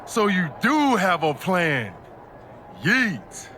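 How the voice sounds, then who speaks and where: A man's voice: high voice, sing-song, very slow, bright, forceful tone, a little hiss or hum, heavily acted. A man playing a laid-back, street-smart, disillusioned pro wrestler in a video game cutscene, talking in slang. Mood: intrigued.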